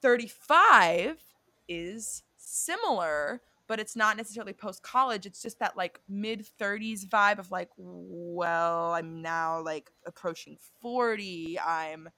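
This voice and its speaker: A woman's voice: mid-range voice, takes it slowly, bright, forceful tone, no room sound. A young woman co-hosting a podcast, chatting casually and swearing freely, often drifting off and correcting herself. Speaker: podcast co-host. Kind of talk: casual chat about a reality show and astrology.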